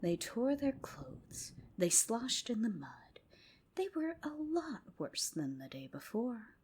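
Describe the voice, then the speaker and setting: A woman narrating a folk tale as ASMR, with a soft, whispery, hushed bedtime delivery. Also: fairly high pitch, sing-song, takes it slowly, slight background noise. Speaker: storyteller. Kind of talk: ASMR storytelling, scripted narration.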